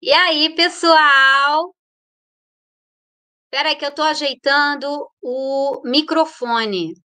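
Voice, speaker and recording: Bright high-voiced Brazilian woman host. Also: takes it slowly. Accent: Brazilian Portuguese. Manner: chatty, playful, greets viewers warmly by name. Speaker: livestream host. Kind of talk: casual live chat greeting viewers.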